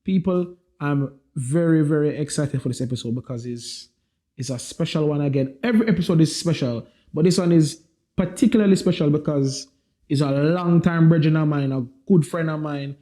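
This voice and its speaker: A man hosting a casual music podcast, his voice warm and mellow and a touch higher than most. He talks with lively hype and easygoing slang, building up his guests as he brings them on. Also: normal speed. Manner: lively, hyped introductions with slang. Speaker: podcast host.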